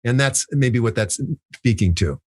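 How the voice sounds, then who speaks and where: A man's voice: mid-range voice, lively intonation, a little slow, darkish tone, no echo. Man with a dry, close-up voice. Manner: thoughtful, explains with made-up examples. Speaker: podcast co-host. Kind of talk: casual podcast chat.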